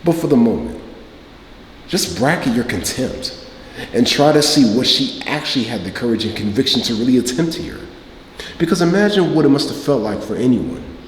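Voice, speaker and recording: A man in his late thirties performing a dramatic stage monologue as a cynical, self-justifying man, speaking in a deliberate, emphatic way. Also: mid-range voice, animated, a little hiss or hum, lightly acted. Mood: earnest, insistent. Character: defensive, cynical man confessing about a one-night pickup. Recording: echoey hall, long reverb, faint room ambience.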